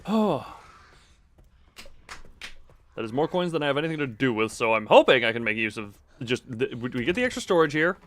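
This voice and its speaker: A man's voice: mid-range voice, sing-song, brisk, long pauses, fairly bright tone. A man giving casual live video game commentary, thinking aloud in a rambling, self-interrupting way with playful, self-deprecating wordplay.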